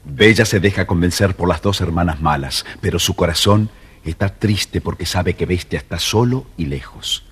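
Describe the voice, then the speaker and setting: A man narrating a scripted children's story in a measured, expressive way. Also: low voice, a little hiss or hum.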